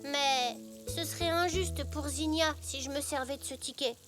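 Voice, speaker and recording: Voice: high-pitched, steady tone, normal speed, music in the background, close to an everyday voice. A performer voicing an earnest, cheerful young boy zebra in a French children's cartoon. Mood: hesitant, thoughtful. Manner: earnest, wide-eyed childlike delivery.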